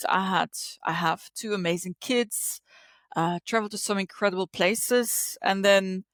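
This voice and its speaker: A woman in her forties from Belgium, a psychologist and coach, speaking as a podcast guest in a reflective, unhurried way with frequent ums and restarts. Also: medium pitch, fairly bright tone, no room sound, clean studio sound. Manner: thoughtful, with many ums and restarts. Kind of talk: conversational interview.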